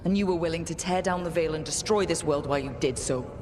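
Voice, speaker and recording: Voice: medium pitch, quick pace, darkish tone, slight background noise, close to an everyday voice. An actor voicing a resolute, plain-spoken young hero who leads a resistance in a fantasy video game. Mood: defiant. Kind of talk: video game cutscene acting.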